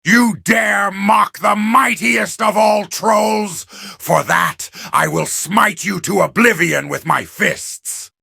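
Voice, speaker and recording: A brutish troll king from a video game, boasting in pompous archaic phrases. The voice is raspy and forceful, pitched high and drawn out slowly. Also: no echo, studio quality, full character voice. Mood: menacing boast. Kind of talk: video game character voice acting.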